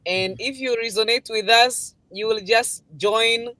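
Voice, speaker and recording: A woman from Congo, a guest on a live stream, speaking in a steady, slightly formal way. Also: fairly high pitch, measured pace, no room sound. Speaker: guest business partner.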